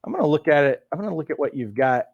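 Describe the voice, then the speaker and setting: A man's voice: on the high side, expressive, rapid-fire, creaky, dry recording, dull audio. A man hosting a live coaching webinar, upbeat, reading out viewer comments over a video call. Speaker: host of a coach training webinar. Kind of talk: casual live webinar chat.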